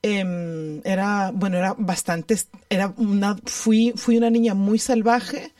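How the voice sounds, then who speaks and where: A woman speaking reflectively in conversation, thoughtful and halting, repeating words for emphasis. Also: medium pitch, steady tone, dark tone, no room sound, studio quality, distant mic. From Ecuador. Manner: halting, thoughtful, emphatic repetition, question tags.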